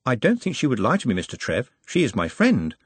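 A man's voice: mid-range voice, wildly swooping pitch, brisk, breathy edge, dry recording. A man voicing a meek, earnest male servant in an audiobook, with precise, formal and overly polite wording. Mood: anxious.

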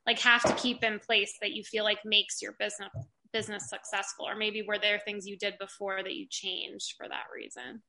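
A woman's voice: mid-range voice, little pitch movement, normal speed, sharp tone, a little hiss or hum, low-quality recording. A woman interviewing a guest, asking questions casually and encouraging her with short replies.

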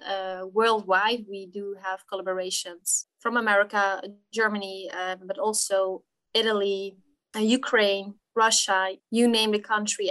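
A Dutch-accented woman in her twenties speaks as a podcast guest. She talks earnestly, running through lists with small fillers, in a mid-pitched voice. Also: a bit bright. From Netherlands. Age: 20s. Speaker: marketing team lead guest. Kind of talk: casual interview chat.

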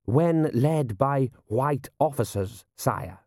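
A man voicing a dry, deferential army general with a measured, courteous manner in an audiobook. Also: medium pitch, lively intonation, a little mellow, no echo, pitched above the performer's own voice. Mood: drily deferential.

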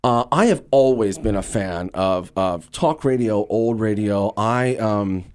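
A man from Louisiana speaking as an actor guest in an interview, telling stories in an enthusiastic, conversational and animated way. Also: fairly low pitch, unhurried, clean tone. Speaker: interview guest, actor and radio drama creator.

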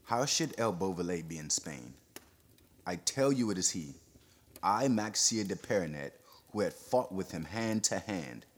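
A man's voice: low voice, normal speed. A man doing a frantic, vengeful accuser. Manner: shouting, words tumbling over each other.

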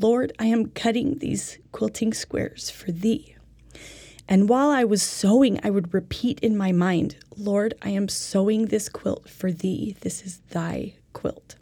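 Husky woman giving personal testimony. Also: medium pitch, mellow, no echo. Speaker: co-presenter of a religious lesson. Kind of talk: personal testimony told as a teaching story.

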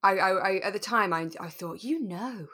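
A British woman co-hosting a podcast in relaxed, casual chat, with an animated, emphatic, giggly way of talking. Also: medium pitch, moderate pace, husky. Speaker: podcast co-host. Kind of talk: relaxed casual chat about a radio drama.

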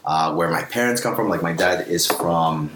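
Deep-voiced young western Canadian man, echoey room. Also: moderate pace. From Vancouver, Canada. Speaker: podcast guest. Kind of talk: casual personal storytelling. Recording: echoey room with faint background noise.

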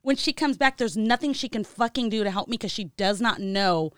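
A woman chatting on a podcast in a smooth, clear voice at a middle pitch. She laughs often, jokes and swears casually. The sound is dry and close. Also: fairly quick, fairly bright tone, no echo. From Mexican-American, United States. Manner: laughing, profane, joking. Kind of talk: casual chat.

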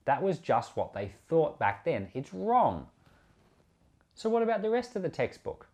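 Guy doing a casual bible talk like a pastor, really animated up and down voice, emphatic and chatty. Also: fairly high pitch, a little slow. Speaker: pastor and Bible podcast host. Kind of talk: informal Bible commentary, sermon.

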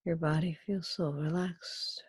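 A woman leading a guided meditation in a soft, breathy voice, soothing and hypnotic, with drawn-out, repeated phrases. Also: low-pitched, fairly even intonation, dry recording.

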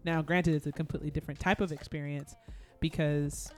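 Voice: mid-range voice, flat delivery, a little fast. A podcast host interviewing a guest, reflective and engaged, with frequent murmured agreement.

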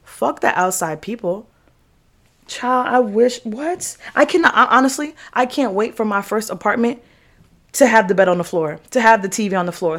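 A woman's voice: medium pitch, a bit bright. A young American woman hosting a podcast, giving a casual motivational monologue in a candid, emphatic, slangy way with swearing and repeated phrases. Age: young adult. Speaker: podcast host.

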